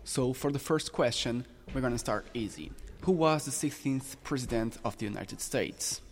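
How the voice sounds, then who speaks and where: A teenage boy hosting a school radio quiz, reading questions in brisk bursts. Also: mid-range voice. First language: French. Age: teen. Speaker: quiz host. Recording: reverberant room with music behind.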